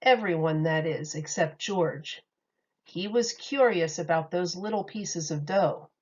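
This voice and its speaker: Teacher reading a picture book to kids: a woman, expressive and unhurried, slightly dull recording. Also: lowish voice. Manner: expressive, lively read-aloud.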